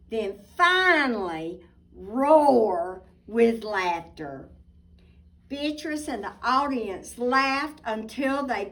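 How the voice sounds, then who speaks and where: A woman reading a children's picture book aloud expressively, with dramatic pauses and asides to her listeners. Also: a little high, fairly bright tone. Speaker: storybook reader. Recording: voice distant from the mic.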